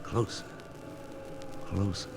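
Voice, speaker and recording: An actor playing a grim, wry newspaper reporter in 1940s radio noir style, with terse, clipped, dramatic phrasing. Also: male voice, takes it slowly, close to an everyday voice. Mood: urgent, tense. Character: hard-boiled male newspaper reporter, grim, wry and determined. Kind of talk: 1940s radio noir acting, first-person narration. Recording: crackly, noisy 1940s radio transcription disc.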